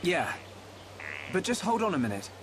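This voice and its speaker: An actor playing a thoughtful, earnest young hero in a video game, polite and a little hesitant. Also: male voice, high voice, big pitch swings, normal speed, noisy background. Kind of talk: dramatic video game cutscene acting. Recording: clear background music.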